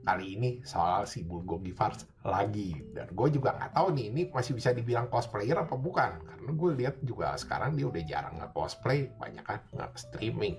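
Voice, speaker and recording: A man's voice: on the low side, lively intonation, a little fast, few pauses. A man in a played vlog clip, casually commenting on online drama in a chatty, laid-back way. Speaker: YouTuber.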